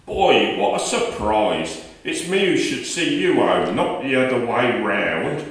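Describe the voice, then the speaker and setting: Radio play: fatherly older male neighbour played by a man, kindly and animated, recorded off-mic with heavy room echo. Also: medium pitch, slow pace. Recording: distant, very echoey room recording.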